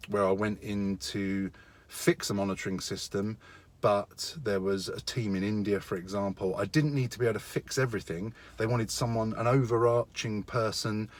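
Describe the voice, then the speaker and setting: A middle-aged man talking casually and matter-of-factly, as a contractor sharing career advice, leaning on key words for emphasis. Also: on the low side.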